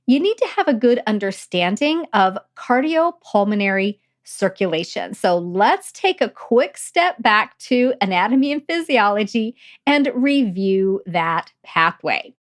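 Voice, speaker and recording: A woman hosting a nursing podcast, her voice a little croaky and gravelly from a lingering cough, explaining step by step and repeating key points. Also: fairly high pitch. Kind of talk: casual teaching talk.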